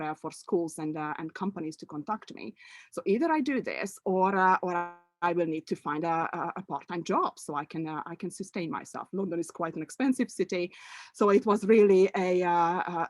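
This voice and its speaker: A woman's voice: on the low side, no room sound. A middle-aged woman from Hungary, a native Hungarian speaker, giving an online webinar presentation as a language coach, hesitant, with many fillers and restarts.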